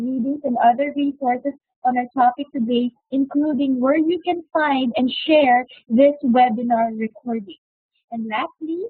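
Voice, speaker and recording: Filipino-accented woman host, formal, polite, high husky voice, tinny dry call line. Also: no room sound. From Philippines. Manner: polite, formal announcements with fillers. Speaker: webinar host. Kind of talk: hosting an online webinar. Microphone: computer mic on a video call.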